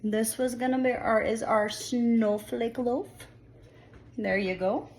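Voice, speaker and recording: A woman's voice: on the high side, steady tone, slow pace, darkish tone, rough recording. A young woman talking through her bread-baking in her home kitchen, chatty and reassuring, with a congested, sniffly voice. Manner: chatty, self-deprecating, reassuring. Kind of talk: casual baking tutorial talk.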